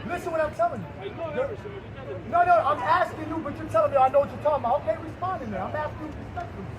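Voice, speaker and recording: A man's voice: extremely high pitch, quick pace, muffled recording, a crowd in the background. A man preaching on the street, reading scripture aloud and firing rhetorical questions at passersby. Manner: emphatic, fires rhetorical questions and challenges listeners. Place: outdoors on a city street near traffic. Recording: street noise outdoors.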